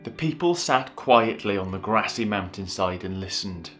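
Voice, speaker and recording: Male reader for children's Bible story, lowish voice, soft guitar music underneath. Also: animated, moderate pace. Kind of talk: children's Bible story reading and chatty sign-off.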